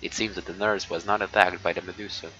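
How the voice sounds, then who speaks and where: Low-voiced male streamer reading on a dull mic. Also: little pitch movement, bright, forceful tone, slight background noise. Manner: halting reading broken by muttered asides. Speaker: live streamer. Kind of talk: reading a visual novel aloud with casual reactions.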